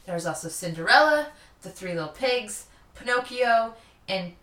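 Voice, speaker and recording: A woman's voice: a little high, expressive, moderate pace, bright, forceful tone. A woman co-hosting a podcast in casual, chatty storytelling, informal and jokey. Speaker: podcast co-host. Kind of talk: casual chatty storytelling about local history. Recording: roomy echo, distant from mic.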